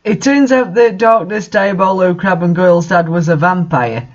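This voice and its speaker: A man's voice: high voice, moderate pace. A man reading bad fan fiction aloud and commenting on it in a mocking, incredulous way. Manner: incredulous, exasperated asides. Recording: dull lo-fi recording.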